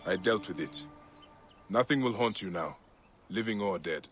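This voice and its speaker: An actor playing a stern, protective Egyptian warrior in a video game, speaking in a measured, resolute way. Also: male voice, low-pitched, expressive. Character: stern, protective adult male Egyptian warrior, calm avenger. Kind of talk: video game cutscene dialogue and muttered asides. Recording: voice under loud game music.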